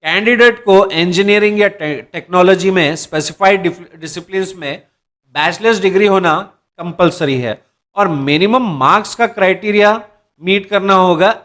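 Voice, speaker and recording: A man with a notably high voice presents job news, speaking straight to his viewers. He reads out dates and criteria, now and then stumbling and restarting a word. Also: fairly bright tone. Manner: addresses viewers as "दर्शकों", occasional restarts. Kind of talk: explainer reading job details.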